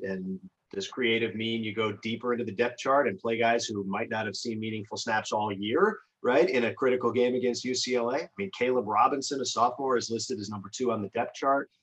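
A man's voice: medium pitch, normal speed, fairly bright tone, slightly rough, poor audio. An American man who works as a radio sports announcer, giving conversational analysis in an emphatic, discursive way, thinking aloud as he goes.

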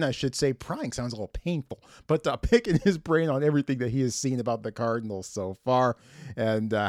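A man's voice: medium pitch, no echo, studio quality. A middle-aged man presenting a sports podcast with the upbeat, emphatic delivery of a play-by-play announcer.

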